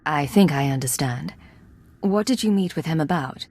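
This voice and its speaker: An actress playing a composed, resolute secret agent in a video game, speaking in a measured, direct way. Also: female voice, low voice, animated, a little mellow. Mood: composed, assertive. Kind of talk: dramatic video game acting.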